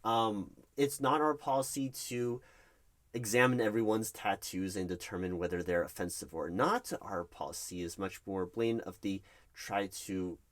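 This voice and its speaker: A man's voice: on the low side, fairly even intonation, moderate pace, close to an everyday voice. A man playing a nervous, flustered recruiting manager in an interview roleplay, stammering and awkwardly polite. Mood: flustered, uneasy. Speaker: roleplay performer. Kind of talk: one-sided interview roleplay.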